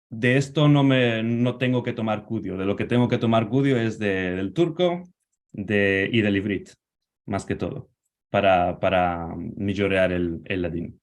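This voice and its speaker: A man from Spain answering interview questions over a video call, speaking in a measured, halting way and correcting himself as he goes. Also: fairly low pitch. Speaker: guest.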